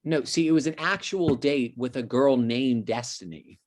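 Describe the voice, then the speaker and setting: A man's voice: medium pitch, normal speed, lightly acted. A man playing a defensive, casual slacker of a brother in an amateur table read over a video call.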